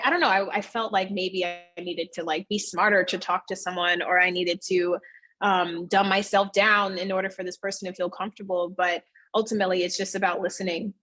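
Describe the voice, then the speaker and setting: A woman's voice: medium pitch, fairly bright tone. A woman in her thirties, a fitness studio owner, chatting casually as an interview guest and acting out voices when she quotes people. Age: 30s.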